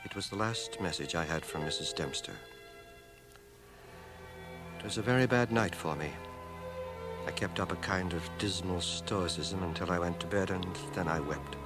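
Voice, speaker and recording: An actor playing a dry, erudite older scholar in a radio drama, measured, precise and stoical. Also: male voice, sits low, close to an everyday voice. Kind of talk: radio drama acting and first-person narration. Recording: 1980 radio drama, home cassette transfer.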